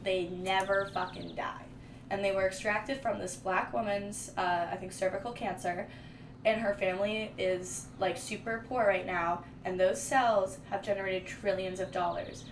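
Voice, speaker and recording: A woman's voice: mid-range voice, measured pace. A young woman vlogger chatting casually and rambling, with lots of "like" and informal slang. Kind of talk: casual chat. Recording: echoey room, mic at a distance, faint noise.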